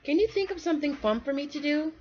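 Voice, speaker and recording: A restless little squirrel boy voiced by a woman reading a storybook, whining that he is bored. The voice jumps up high and complains. The recording is a bit dull and muffled. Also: lively intonation, quick pace, warm tone. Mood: bored, whiny.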